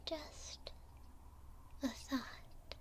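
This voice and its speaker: A woman playing a gentle, hopeful imprisoned elf in an ASMR roleplay, speaking tenderly in a soft, breathy whisper close to the mic with pauses between short phrases. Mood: pensive. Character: gentle, hopeful female elf prisoner in a dungeon. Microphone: close binaural microphone.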